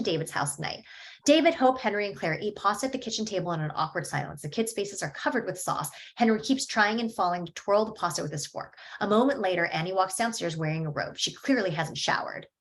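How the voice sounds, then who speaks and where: A woman reading stage directions at a screenplay table read in a steady, matter-of-fact way. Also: mid-range voice, fairly quick, a little hiss or hum.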